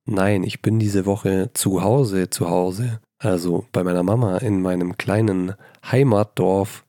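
A man hosting a solo writing podcast, slightly hoarse from a cold, talking in a chatty, self-deprecating way into a handheld mic in a small bedroom. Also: fairly low pitch, dark tone, no echo, clean studio sound. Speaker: podcast host. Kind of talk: casual solo podcast talk. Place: old childhood bedroom at his mother's house. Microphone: handheld microphone.

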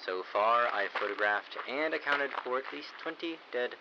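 Rookie army photographer male actor, audio drama: young, earnest, hesitant, mid-pitched, with clearly audible background noise. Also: lively intonation, moderate pace, highs cut off, close to an everyday voice. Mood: official. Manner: hesitant, questioning.

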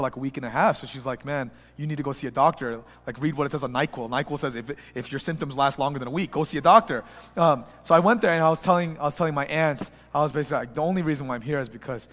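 Sick pastor preaching in a long-reverb church. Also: male voice. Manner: rambling and personal, many fillers and restarts; builds to a louder, higher pitch when preaching. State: sick with a flu, voice a little rough. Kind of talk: sermon. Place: church sanctuary.

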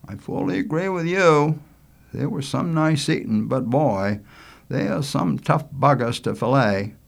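A man's voice: mid-range voice, expressive, unhurried, vocal fry, voice pushed up. A man voicing an easygoing, teasing young coastal fellow with colloquial, folksy speech in a storybook reading. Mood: agreeable.

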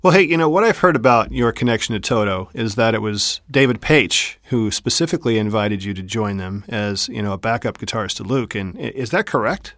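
A man's voice: lowish voice, animated, a little fast, few pauses, a bit bright, dry recording, studio quality. A man interviewing a guest on the radio, with an encouraging manner and lots of short uh-huhs and yeahs.

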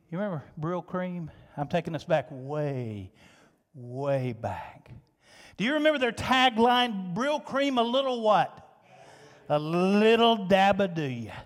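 A man preaching a sermon as pastor, swinging between loud emphasis and hushed asides. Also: extremely high pitch. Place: church sanctuary. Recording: long reverb of a church hall.

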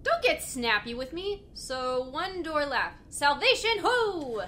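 Bright, sarcastic, defiant captive played by a woman. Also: high-pitched, very animated, slow, a little hiss or hum, full character voice. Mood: irritated. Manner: irreverent, blunt, incredulous. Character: sarcastic, foul-mouthed, defiant captive who talks back. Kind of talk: conversational acting in audio comedy drama.

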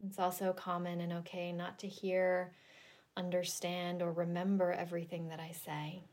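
A woman leading a guided relaxation meditation in a gentle, soothing, deliberate manner with long pauses. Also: on the low side, completely flat delivery. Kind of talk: guided yoga nidra meditation.

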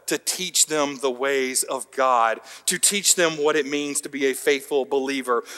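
A pastor preaching a sermon, with emphatic rising peaks, quiet dropped line endings and some light self-deprecating humor. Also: male voice, fairly high pitch. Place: church sanctuary. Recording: long hall reverb.

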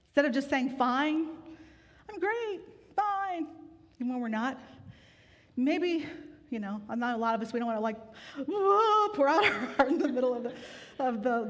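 A woman pastor giving a sermon in a relaxed, conversational way with gentle humor, weary from grief. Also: high-pitched. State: grieving, tired. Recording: long hall reverb.

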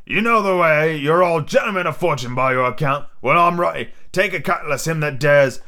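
A man's voice: on the high side, moderate pace, bright, forceful tone, voice pushed up. A man voicing a domineering old pirate sea-cook captain with swaggering, threatening seafaring talk. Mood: furious challenge.

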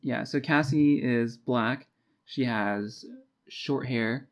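A man's voice: medium pitch, takes it slowly. A man co-hosting a casual book discussion, recapping a story in an informal way with wry, jokey asides. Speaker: podcast co-host.

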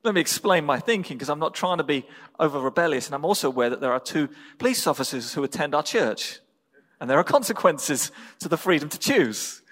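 English pastor preaching in a reverberant hall. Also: male voice, fairly high pitch, expressive, fairly bright tone. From England. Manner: measured, deliberate short phrases with pauses. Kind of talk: sermon.

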